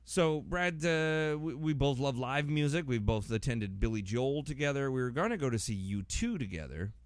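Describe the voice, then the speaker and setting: A man's voice: medium pitch, animated, normal speed, dry recording. A middle-aged American man hosting a podcast in a casual, rambling, self-deprecating way. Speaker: podcast host. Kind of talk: casual chat.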